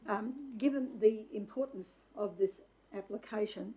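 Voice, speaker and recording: A woman, a councillor, asks a measured, courteous question in a council meeting. Her voice is mellow and warm. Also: medium pitch, rough recording. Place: council chamber.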